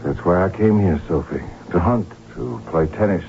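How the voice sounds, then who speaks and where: An actor in a radio drama playing a lovestruck, determined royal heir who speaks earnestly and formally. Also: male voice, low-pitched, expressive, moderate pace, creaky, dark tone, a little hiss or hum. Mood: tender, determined. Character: lovestruck, determined Habsburg archduke, heir to the throne. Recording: dull vintage radio broadcast with music.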